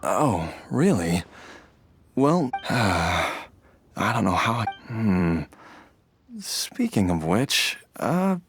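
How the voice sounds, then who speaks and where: A man playing a gentle, ailing father in a video game, weary and hesitant, with sighs. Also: fairly low pitch, big pitch swings, soft background music, full character voice. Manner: slow, hesitant, sighing.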